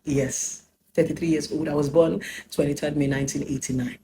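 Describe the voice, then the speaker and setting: A woman's voice: low-pitched, steady tone, brisk, noisy background. A Nigerian woman in her thirties giving an emphatic personal talk, preaching her lessons and slipping into Pidgin. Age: 30s. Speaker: relationship speaker.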